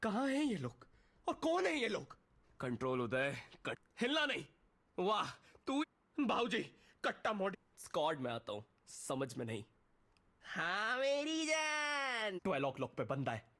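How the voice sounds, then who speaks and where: A cocky male fighter from a game voice pack, high and sharp. His mocking punchlines swing theatrically up and down. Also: wildly swooping pitch, a little slow, exaggerated voice. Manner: theatrical, taunting, filmi punchlines.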